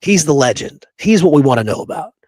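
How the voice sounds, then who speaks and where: A man's voice: fairly high pitch, expressive, fast talker, darkish tone, dry recording. A man hosting a history show, talking casually as he discusses research on a live call.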